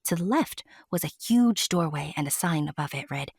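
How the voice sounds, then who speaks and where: Low-voiced woman narrating a fantasy tale. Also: expressive, normal speed, breathy edge, no room sound, studio quality. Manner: conversational storyteller asides to the listener. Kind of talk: scripted storybook narration of a fantasy audio drama. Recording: loud music under the narration. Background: music.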